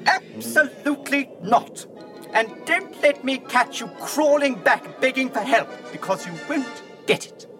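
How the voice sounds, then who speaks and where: A man's voice: extremely high pitch, expressive, measured pace, music in the background. A man playing a fussy, anxious, self-pitying robot in a radio drama, prissy and theatrical in his complaints. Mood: huffy, stubborn. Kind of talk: dramatised radio science-fiction acting.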